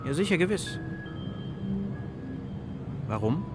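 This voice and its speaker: A man's voice: a little high, very animated, takes it slowly. An actor in a radio play as a sceptical young man who speaks with flippant, questioning irony. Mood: ironic. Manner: questioning, ironic. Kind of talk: German radio play dialogue. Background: music.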